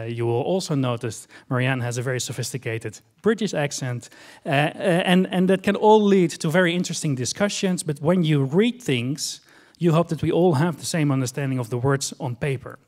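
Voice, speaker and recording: A middle-aged man with a Dutch accent speaks from a conference stage, his voice at a mid pitch. He explains steadily and patiently, pausing on "uh" as he gathers his points. His words carry through a handheld microphone. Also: darkish tone. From Netherlands. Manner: measured, explanatory, frequent "uh" fillers. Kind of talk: conference presentation. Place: large conference hall stage.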